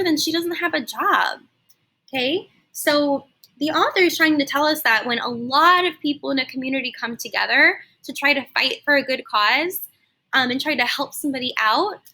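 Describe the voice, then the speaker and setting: A woman reading a children's story aloud like a teacher, animated and explaining things simply for young listeners. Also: high-pitched, moderate pace. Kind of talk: expressive children's read-aloud with explanatory asides.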